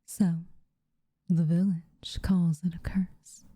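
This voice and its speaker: A woman voicing a guarded, haughty yet secretly tender witch in an ASMR roleplay, softly and breathily, with slow, formal phrasing. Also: on the low side, little pitch movement, no room sound, studio quality. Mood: wry, resolute. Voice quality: soft, breathy, often near-whispered. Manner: slow, formal, measured wording with reluctant warmth.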